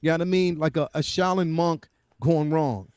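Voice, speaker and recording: A man with a notably high voice co-hosts a casual, opinionated talk about films. He speaks emphatically and repeats phrases to drive points home, in a dry, close-sounding recording. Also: moderate pace, a little mellow, clear, resonant tone, no echo. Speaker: co-host. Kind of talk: casual opinionated film discussion.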